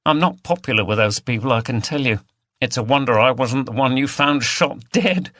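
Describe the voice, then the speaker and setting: A man's voice: mid-range voice, moderate pace, a bit bright, dry recording, studio quality, pitched above the performer's own voice, natural voice. A man voicing a blunt, defiant village animal rights woman in an audiobook, with a flippant, forthright manner. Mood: earnest, defiant.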